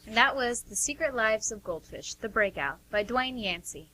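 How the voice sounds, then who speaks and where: A woman's voice: on the high side, expressive, normal speed, sharp tone, low-quality recording. A woman narrating an amateur radio play from a script. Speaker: narrator, guest performer.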